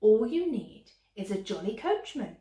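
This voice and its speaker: A woman's voice: mid-range voice, very animated, a little slow. Lady doing a kindly old fairy godmother for a storybook, cheery n a bit bossy, echoey room. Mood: jolly. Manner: reassuring, gently bossy.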